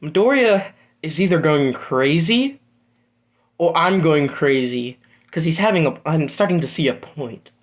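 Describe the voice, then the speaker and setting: A storyteller voicing a calm, practical young heroine. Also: mid-range voice, measured pace, close to an everyday voice. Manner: matter-of-fact.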